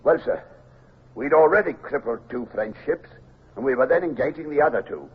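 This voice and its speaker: An actor playing a gruff, loyal, plain-spoken British naval officer giving blunt, earnest testimony in a raspy voice for radio drama. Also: male voice, medium pitch, lively intonation, normal speed. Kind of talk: 1950s radio drama acting. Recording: tinny old radio recording.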